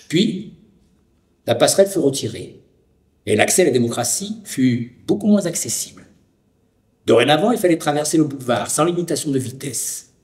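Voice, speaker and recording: A man from the Lyon area of France narrating a scripted personal documentary with deliberate, poetic phrasing. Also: on the high side, a little mellow.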